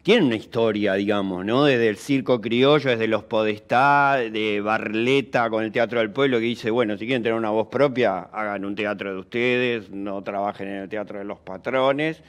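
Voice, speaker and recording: A man's voice: on the low side, moderate pace, hardly any pauses. A man with an Argentine accent interviewing conversationally, tentative and often seeking agreement with short tag questions.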